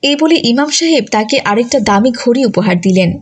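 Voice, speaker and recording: High-voiced woman narrating a story. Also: lively intonation, normal speed, breathy edge. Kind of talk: scripted children's story narration.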